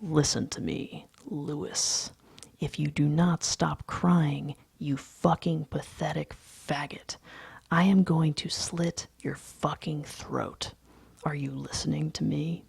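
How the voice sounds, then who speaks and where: Audiobook villain, female performer: menacing, exasperated man, low and very gravelly, vicious temper under a cold surface. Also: takes it slowly, long pauses, dry recording, heavily acted. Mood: quiet menace. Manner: threatening, hissing whispers to forceful snarls.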